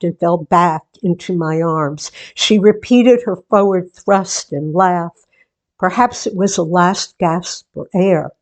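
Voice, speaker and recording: A woman playing a sentimental, playful daughter and hostess in a 1940s-style radio theatre monologue, with deliberate, theatrical delivery. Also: on the low side, a little mellow. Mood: wistful, tender. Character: sentimental daughter, book-show hostess, playfully resisting marriage.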